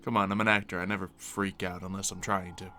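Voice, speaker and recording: A man's voice: deep voice, little pitch movement, fast talker, bright, forceful tone, slight background noise. A man playing an easygoing, wry survivor loyal to his settlement, talking casually in an improvised game roleplay.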